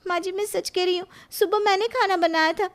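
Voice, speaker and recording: Female actor as younger daughter-in-law, high pitch, assertive, accusing, sharp-tongued, family cartoon drama. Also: fairly even intonation, fairly quick. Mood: indignant. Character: sharp, indignant younger daughter-in-law who fights back. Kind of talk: Hindi moral cartoon story acting.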